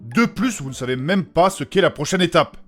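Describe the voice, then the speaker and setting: An earnest magical healer acted by a man speaks formally and deferentially, quick to apologise. The delivery belongs to a comedic fantasy audio drama, with light music behind it. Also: on the high side, big pitch swings, a bit bright. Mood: earnest, solemn.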